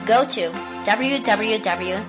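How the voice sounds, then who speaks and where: A woman hosting a podcast, her voice husky from a lingering cough, talking in a chatty, encouraging way and laughing lightly between thoughts. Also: mid-range voice, slow pace, over loud music. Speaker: podcast host. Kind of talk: casual solo podcast wrap-up.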